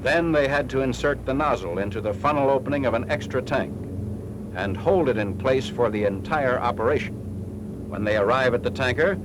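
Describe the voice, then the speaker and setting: American male, mid-pitched, formal clipped instructional read for a 1940s military training film, noisy vintage soundtrack. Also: a little fast.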